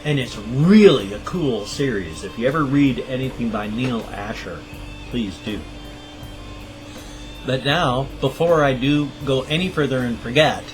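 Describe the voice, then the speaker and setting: A man's voice: medium pitch, poor audio. A middle-aged man hosting his own channel, chatting casually to camera in a rambling, self-deprecating way. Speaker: channel host. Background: guitar music.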